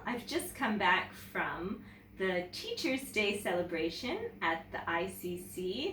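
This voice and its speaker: A woman teacher reading a children's story aloud in an expressive, animated way, talking directly to young viewers. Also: medium pitch. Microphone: camera mic at some distance. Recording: roomy, distant mic with background noise.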